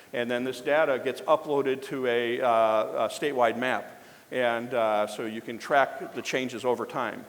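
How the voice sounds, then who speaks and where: A man presenting at a public forum and introducing the next speaker, talking haltingly with many fillers and restarts. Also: mid-range voice, in a large hall.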